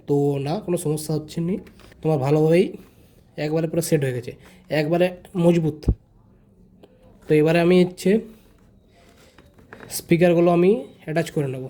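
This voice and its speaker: Male vlogger, high mellow voice, informal hands-on tutorial delivery with repeated phrases. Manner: informal, repeats phrases, addresses viewers directly. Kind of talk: casual step-by-step product tutorial.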